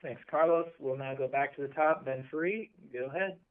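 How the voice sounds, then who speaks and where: A man's voice: medium pitch, normal speed, clear, resonant tone. A man moderating a press conference call in an orderly way, heard over a phone line.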